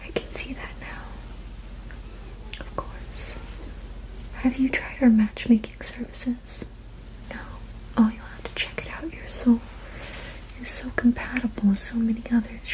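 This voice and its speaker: A woman whispering softly and breathily in a soothing, reassuring, unhurried ASMR roleplay as a sleep therapist. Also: a little high, poor audio. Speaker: ASMR performer playing a witch sleep therapist.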